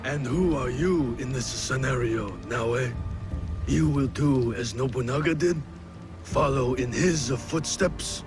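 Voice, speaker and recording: A grave veteran warrior lord, acted by a man, speaking slowly and formally in a mid-range voice. He sounds stern and probing, in a game drama with music clearly behind him. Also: mid-range voice, lightly acted. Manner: deliberate, formal, questioning. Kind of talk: video game cutscene acting.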